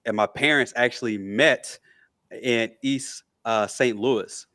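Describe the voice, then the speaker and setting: A man's voice: mid-range voice, slow pace, pushed and bright. Podcast guest, male, middle-aged, American accent, mid-range voice, casual conversational answers. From Memphis, Tennessee, USA. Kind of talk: casual interview talk.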